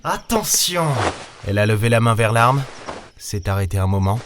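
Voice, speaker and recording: A man's voice: fairly low pitch, expressive, normal speed, noisy background, close to an everyday voice. A man playing a wary, sarcastic starship captain in a sci-fi audio drama, speaking in a blunt, colloquial way. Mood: tense, threatening. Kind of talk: French sci-fi audio drama, acting and first-person narration. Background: music.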